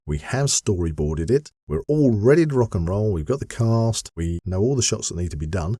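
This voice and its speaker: A man's voice: sits low, dark tone, husky, dry recording, clean studio sound. A man hosting a podcast, giving listeners an update in an upbeat, encouraging, chatty way. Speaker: podcast host.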